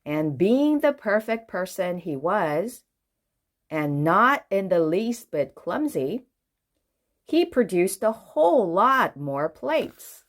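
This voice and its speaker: A woman reading a children's book aloud in an expressive way, putting questions to the listener. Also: medium pitch, takes it slowly. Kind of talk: animated read-aloud storytelling for children.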